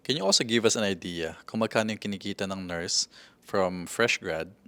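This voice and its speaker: A Filipino man with a very deep voice and a Filipino accent, hosting an interview. He asks short, plain questions and mixes Tagalog with English. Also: a little fast, fairly bright tone. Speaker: interviewer, show host.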